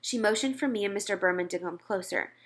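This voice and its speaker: A woman narrating a children's story as an expressive read-aloud. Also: medium pitch, fast talker.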